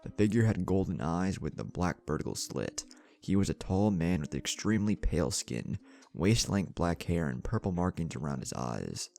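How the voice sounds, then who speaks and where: A man's voice: low-pitched, very animated, normal speed, gravelly, darkish tone. A man narrating a scripted action story in a dramatic storytelling style.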